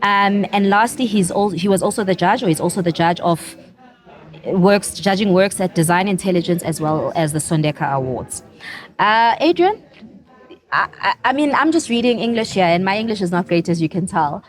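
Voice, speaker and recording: A woman hosting a live interview at a design festival, playful and halting, often repeating words as she speaks. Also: mid-range voice, animated. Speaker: podcast host. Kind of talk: casual interview chat. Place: festival venue, recorded live.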